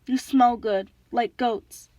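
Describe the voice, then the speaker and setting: A woman's voice: fairly high pitch, steady tone, slow pace, dark tone, dry recording, muffled recording. A woman plays a gigantic male Cyclops in an audiobook, hungry, gullible and half-blind. The monster speaks bluntly, grumbling and making demands, in a dry, close recording. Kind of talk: audiobook character voice.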